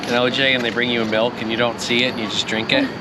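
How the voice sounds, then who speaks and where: A man's voice: medium pitch, flat delivery, quick pace, very noisy. A man chatting casually and playfully on a vlog, slangy and exclamatory while eating. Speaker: vlogger.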